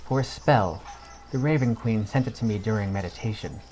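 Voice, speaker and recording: A man's voice: on the low side, a little fast, a little mellow, a little hiss or hum, lightly acted. A man voicing an earnest female cleric in a plain, direct manner for a fantasy story podcast. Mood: serious.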